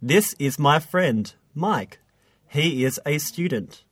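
A man's voice: fairly high pitch, expressive, slow pace, noisy background. A man reading scripted English listening exercises with careful enunciation, as on a language-lesson recording. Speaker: English listening test reader.

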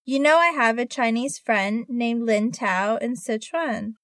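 A woman's voice: a little high, a little slow, a bit bright, no echo, clean studio sound. A woman reading an eager teenage girl. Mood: earnest. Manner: clear, careful textbook delivery. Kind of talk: scripted listening-test dialogue.

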